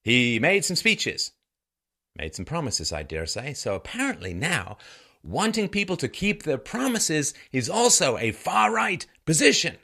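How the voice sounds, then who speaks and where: A man's voice: a little high, big pitch swings, measured pace, vocal fry, pushed and bright. A man from Ireland and England delivering an opinion monologue in a sarcastic, rhetorical manner with theatrical emphasis. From born in Ireland, grew up in England. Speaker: host of a political commentary show.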